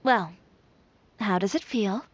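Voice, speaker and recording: An actress playing an assertive, self-assured young fashion designer in a video game, speaking with composed, dryly confident frankness. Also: female voice, medium pitch, takes it slowly, muffled recording, a little hiss or hum, natural voice. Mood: calm, reassuring. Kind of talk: scripted video game dialogue. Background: background music.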